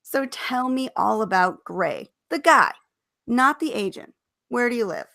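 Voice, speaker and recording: A woman's voice: on the high side, expressive, measured pace, creaky, a bit bright, voice pushed up, full character voice. A woman reading the part of a feisty, sarcastic, impatient female agent, with pushy, emphatic questioning. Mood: sweetly probing.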